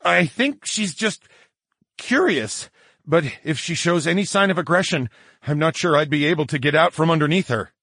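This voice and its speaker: A man's voice: high voice, animated, slow, no room sound, clean studio sound, voice pushed up, exaggerated voice. A man voicing a flustered young man in an audiobook, hesitant and strained, with some stammering. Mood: nervous. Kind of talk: audiobook character acting.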